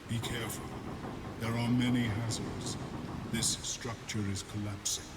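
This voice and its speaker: A man voicing a cold, formal telepathic creature in a video game, urgent yet clipped and measured. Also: mid-range voice, level tone, natural voice. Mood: cold urgency. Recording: long reverb, noisy ambience behind.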